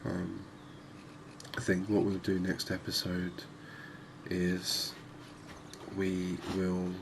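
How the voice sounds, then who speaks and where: A man giving relaxed, unhurried ASMR-style commentary on a video game, musing conversationally as he goes. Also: deep voice. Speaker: gaming video host. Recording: clearly noisy background ambience.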